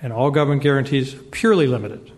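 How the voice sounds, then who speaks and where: A man speaking as a debate panelist, measured and insistent as he lays out a proposal. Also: mid-range voice, a little mellow. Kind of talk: debate argument.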